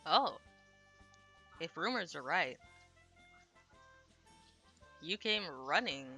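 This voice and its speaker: A woman with a bright, forward voice on the slightly low side plays a visual novel on stream. She reads translated lines aloud and drops in casual, slangy comments. Soft music plays behind her. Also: expressive, drawn-out words, vocal fry. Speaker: game streamer. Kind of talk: live visual novel playthrough, reading translated dialogue aloud.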